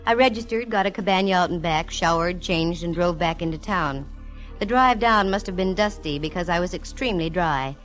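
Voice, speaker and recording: A low-pitched actress playing a female private eye from golden-age radio, breezy and dryly funny. She is self-assured and wisecracking, with music under the old recording. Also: normal speed, a bit bright, natural voice, rough recording. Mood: dreamy, amused. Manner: wry, breezy, dryly humorous. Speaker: lead actress narrating a detective serial. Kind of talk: 1940s radio detective acting and narration.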